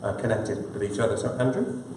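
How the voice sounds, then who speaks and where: A man's voice: lowish voice, expressive, brisk, a little mellow, slight background noise. A man hosts a live conference session, stumbling loosely through fillers as he introduces the next speaker. He is picked up from a distance, and his voice rings around a big reverberant hall. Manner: loose, hesitant with many fillers. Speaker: conference moderator. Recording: echoey hall, distant mic.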